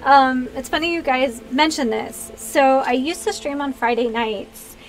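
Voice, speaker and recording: Higher-voiced American woman chatting on stream. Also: a little slow, low-quality recording. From US East Coast, Pennsylvania. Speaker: video game streamer and host. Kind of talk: casual livestream chat with viewers.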